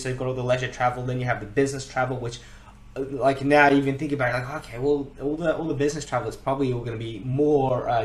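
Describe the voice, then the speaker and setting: A man hosting a podcast in a casual discussion, emphatic and thinking aloud in rhetorical questions. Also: medium pitch. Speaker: podcast host. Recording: roomy, echoey sound.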